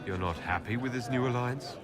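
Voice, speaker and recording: A man playing a composed, inquisitive elven leader in a fantasy game, measured and questioning. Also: mid-range voice, lightly acted. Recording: music behind the voice.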